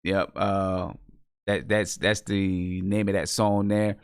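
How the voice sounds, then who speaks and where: A man with a creaky, gravelly voice hosts in a casual, halting way, repeating words as he thinks. The sound is dry and close, with very little room in it. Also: low-pitched, level tone, no echo. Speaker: host of a live music review show. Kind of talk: casual chat.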